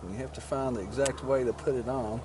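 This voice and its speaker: A man's voice: medium pitch, steady tone, quick pace. Man in casual how-to, background noise. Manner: plain-spoken, step-by-step narration while working with his hands. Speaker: motorcycle vlogger demonstrating gear installation. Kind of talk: casual hands-on tutorial. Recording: clear ambient and vehicle noise.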